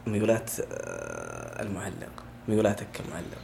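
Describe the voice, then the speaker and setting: A man's voice: deep voice, wildly swooping pitch, normal speed, creaky, noisy background. A man hosting a podcast, asking long, chatty questions. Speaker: podcast host. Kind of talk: casual interview.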